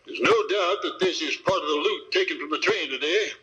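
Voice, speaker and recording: An actor playing a blunt, suspicious sheriff with a folksy American drawl in an old-time western radio drama. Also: male voice, a little high, a little fast, slightly rough, exaggerated voice. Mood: accusing. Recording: dull vintage radio, loud crowd-like noise.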